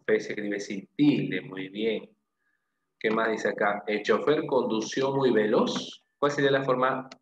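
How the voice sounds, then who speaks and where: A man's voice: lowish voice, fairly bright tone. Male teacher, exam-prep class, question-and-answer delivery with frequent tag questions; dull recording with faint background noise. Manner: questioning, repeats answers and confirms them with short praise and tag questions. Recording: echoey room, dull lo-fi sound, faint noise.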